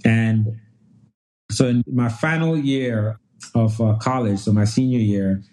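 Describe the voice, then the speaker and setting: A man from Nigeria in his thirties, a guest pulmonologist who tells his story in a relaxed way, often restarting and repeating phrases. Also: lowish voice, slow, poor audio.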